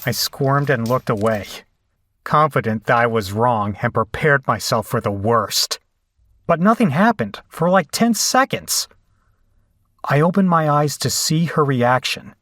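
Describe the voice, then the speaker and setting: A man playing a frightened, battered captive in a horror audio drama, telling the ordeal in the first person with deliberate, dramatic delivery. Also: mid-range voice, lively intonation, a bit bright, dry recording, clean studio sound. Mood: grim, haunted recounting. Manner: deliberate, dramatic storytelling with hesitant, pained dialogue.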